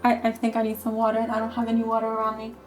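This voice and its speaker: A woman who is losing her voice, talking casually about her game plans in a halting way, repeating words and filling pauses with um. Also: fairly high pitch, monotone, darkish tone, soft background music. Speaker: gaming YouTuber. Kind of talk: casual gameplay commentary.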